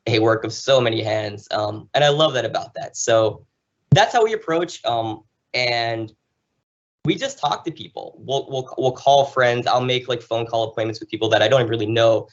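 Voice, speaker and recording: An American man from New Jersey talking as an interview guest in an informal, animated, explanatory way. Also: medium pitch, moderate pace, lo-fi sound. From New Jersey, United States. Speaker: podcast guest, travel show host. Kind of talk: conversational interview, enthusiastic storytelling.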